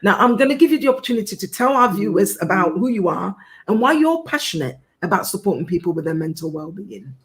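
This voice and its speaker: A woman's voice: mid-range voice, sing-song, quick pace. Female host, friendly and welcoming, mid-range voice, live online interview.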